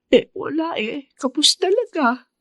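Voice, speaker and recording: An actress playing a loving, apologetic middle-aged mother of a poor family in a radio drama, with a husky voice. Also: sits high, lively intonation, a little slow, fairly bright tone, no echo, studio quality.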